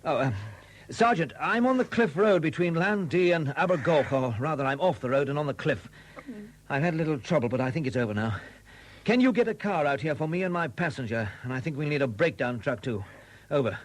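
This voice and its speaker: Shrewd male detective superintendent, slightly husky, expressive pitch, insistent and courteous, 1970s radio drama, dated recording. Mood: brisk, official. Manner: probing, deliberate, courteous but insistent questioning.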